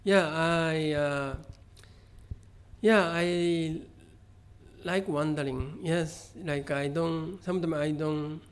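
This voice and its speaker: A middle-aged man from Japan with a Japanese accent, answering interview questions about his art in a halting way, with many fillers and restarts. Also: fairly high pitch, steady tone, smooth. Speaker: visual artist, interview guest. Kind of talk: conversational interview.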